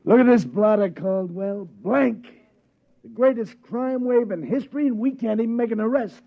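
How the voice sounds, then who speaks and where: An actor playing an exasperated police sergeant who talks brusquely and mocks, in a 1950s radio drama. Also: male voice, very high voice, moderate pace, gravelly, narrow, tinny audio, full character voice. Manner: brusque, mocking.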